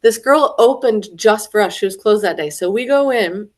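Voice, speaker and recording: Lively, slightly stuffy woman storyteller. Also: medium pitch, moderate pace. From Florida. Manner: animated storyteller, acts out quoted voices, laughs often. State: slightly stuffy from a head cold. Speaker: retreat attendee. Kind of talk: casual storytelling conversation.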